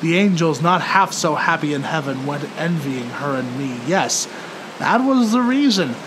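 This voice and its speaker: A man narrating poetry in a theatrical, solemn and measured dramatic recitation. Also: on the high side, animated, background noise. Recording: loud music under the voice. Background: music.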